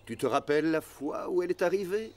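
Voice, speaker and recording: A man voicing a wise, fair-minded animal in a children's cartoon, speaking in a measured, moralizing way. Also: fairly high pitch, expressive, natural voice. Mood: gently admonishing. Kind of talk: animated children's series dub.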